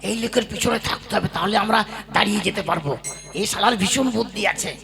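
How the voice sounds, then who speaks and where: A man voicing the official's gloating sidekick in a puppet cartoon, speaking in a low, hushed way. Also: quick pace, creaky. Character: gleeful male sidekick of the official. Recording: voice over loud music.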